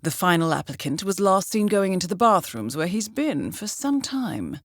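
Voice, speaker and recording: Dry, poised spy handler, lively intonation. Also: female voice, on the low side, moderate pace, dry recording. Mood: wry, intrigued. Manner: composed, clipped, wryly understated. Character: poised, dry-witted female intelligence handler guiding an assassin. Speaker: mission handler. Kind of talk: scripted game briefing dialogue.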